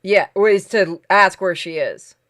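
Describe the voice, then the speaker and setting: A man's voice: high-pitched, unhurried, a bit bright. A man co-hosting a game playthrough with casual, reactive, joking and sweary commentary. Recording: game music behind.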